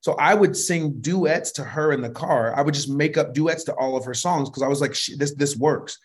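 A middle-aged man with a high voice, a worship leader telling stories in a relaxed, animated way in an interview, laughing often and restarting sentences. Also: moderate pace. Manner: animated, laughs often, frequent restarts and "you know what I mean". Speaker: worship leader and vocal producer, interview guest. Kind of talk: relaxed conversational storytelling.

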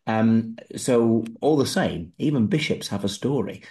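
A man hosting an interview podcast, asking courteous, gently probing questions of his guest. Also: on the low side, lively intonation, measured pace. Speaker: podcast host. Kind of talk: conversational interview.

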